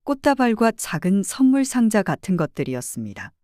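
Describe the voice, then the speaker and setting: Expressive woman storyteller, mellow voice. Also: mid-range voice, brisk, no room sound, studio quality. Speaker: narrator playing a private detective. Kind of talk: audio drama story narration.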